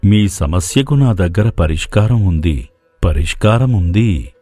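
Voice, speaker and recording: A man voicing a solemn, meditative holy sage with a slow, chant-like delivery in a moral story. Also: deep voice, animated, dark tone, dry recording, voice pushed down. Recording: music playing behind the voice.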